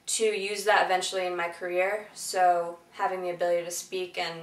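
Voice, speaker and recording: A woman's voice: lowish voice, flat delivery, a little fast. Young woman, distant and echoey. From southern Vermont. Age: young adult. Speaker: university student. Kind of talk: casual interview testimony. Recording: distant mic in an echoey room with faint music.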